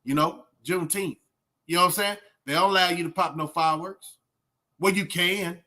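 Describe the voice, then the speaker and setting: High bright male voice, Southern US accent, casual reaction host, colloquial, emphatic, asks rhetorical questions. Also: normal speed. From southern United States.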